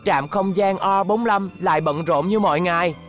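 A man playing an earnest, measured narrator in a dubbed cartoon. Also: sits high, soft background music, lightly acted.

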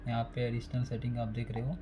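A man's voice: mid-range voice, completely flat delivery, fast talker, noisy background. A man giving a casual step-by-step phone repair tutorial, speaking plainly and repeating each step while working at a desk. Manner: plain, repetitive, instructional.

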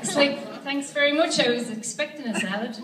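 A wry Irish woman performs stand-up in a comedy club. Her voice sits a little high. It is caught from far back in an echoey room, over loud audience laughter. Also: brisk, over a noisy crowd, very noisy. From Ireland. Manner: wry, deadpan storytelling with punchlines. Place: comedy club stage before a live audience. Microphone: handheld mic, filmed from the audience.

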